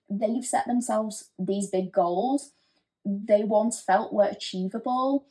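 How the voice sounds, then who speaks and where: A woman giving a pick-a-card tarot reading in a gentle, reassuring, chatty way, with frequent fillers. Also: medium pitch. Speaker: tarot reader.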